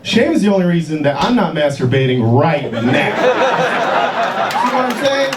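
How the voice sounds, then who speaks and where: A man's voice: high voice, sing-song, moderate pace, heavy background noise. A man in his late twenties doing a stand-up set in a comedy club, chatty and riffing with the crowd. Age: late 20s. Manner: conversational, riffing, crowd-work. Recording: roomy club from a distance, crowd laughter. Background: audience laughter.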